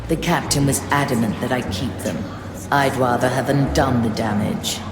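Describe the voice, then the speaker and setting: Low, echoing ghost ship spirit. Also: female voice. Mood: resentful. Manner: formal, measured, dignified. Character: solemn, proud female spirit of a living ghost ship. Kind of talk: video game character acting. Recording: echoey voice under loud music.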